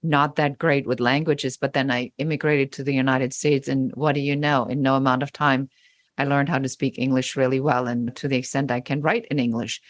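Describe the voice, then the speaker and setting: A woman's voice: a bass voice, flat delivery, fairly quick, dry recording. A woman with a German accent, a guest on a podcast interview, speaking emphatically and stacking points in rising lists.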